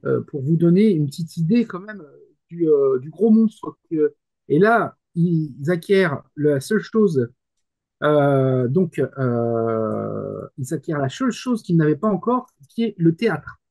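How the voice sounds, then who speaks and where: A man with a relaxed, dark-toned voice, pitched a bit high, gives casual explanations as a panelist. He speaks haltingly, breaking his sentences up as he searches for words. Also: creaky. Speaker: panelist commenting on the industry.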